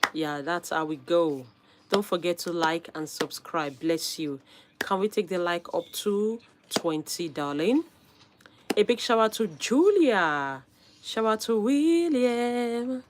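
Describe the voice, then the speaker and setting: A woman hosting a live stream, her voice a little hoarse from a cold. She talks at an unhurried pace, and her pitch swings widely as she stays upbeat and laughs often. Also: animated, noisy background. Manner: upbeat, calls out viewer names with frequent laughs. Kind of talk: casual live stream chat.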